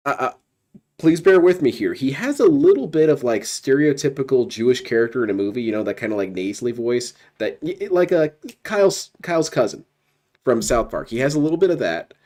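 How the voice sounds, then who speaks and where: A man's voice: medium pitch, expressive, fairly quick, darkish tone. A man giving casual, sardonic commentary as a streamer, heckling what he watches. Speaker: streamer reacting to another stream.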